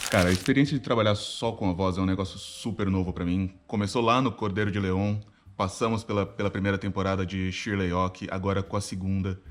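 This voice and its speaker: A man's voice: sits low, steady tone, fast. A Brazilian actor, a man, speaking casually in an interview about his work.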